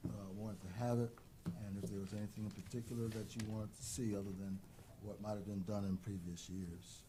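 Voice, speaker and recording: Male town manager, low warm tone, halting delivery. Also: little pitch movement, slight background noise. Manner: hesitant, many ums. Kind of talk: meeting report. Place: council chamber.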